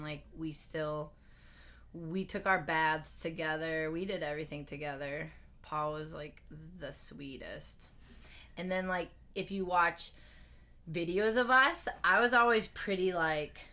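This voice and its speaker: A young woman with a hoarse voice, a podcast guest chatting casually at home, laughing often and trailing off mid-sentence. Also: sits low, unhurried, pushed and bright. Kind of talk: casual interview chat. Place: family home.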